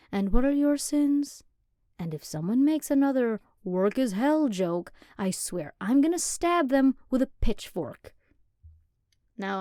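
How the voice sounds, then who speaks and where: A woman's voice: medium pitch, warm tone, dry recording. A young woman voicing an affectionate, chatty female demon girlfriend in an ASMR roleplay, rambling and sometimes dropping to a whisper, close to the mic.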